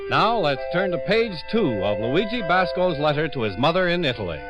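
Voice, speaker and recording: A man reading scripted copy as a 1950s radio announcer, with a smooth salesman's delivery. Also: fairly high pitch, big pitch swings, brisk, loud music behind the voice. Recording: dull old radio recording.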